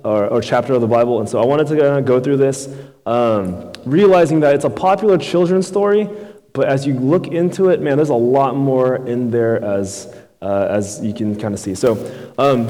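A man in his thirties from Houston, preaching a relaxed, conversational sermon as a guest youth pastor, with many fillers and questions to the crowd. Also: mid-range voice. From Houston, Texas. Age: 30s. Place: church sanctuary. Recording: long reverb in a large hall.

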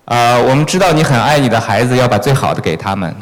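The man standing beside a preacher in a big echoing church, relaying each phrase to the congregation in a mid-pitched voice. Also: expressive, quick pace. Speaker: church sermon interpreter. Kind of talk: consecutive interpreting of a sermon. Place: large reverberant church hall. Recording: echoey hall with long reverb.

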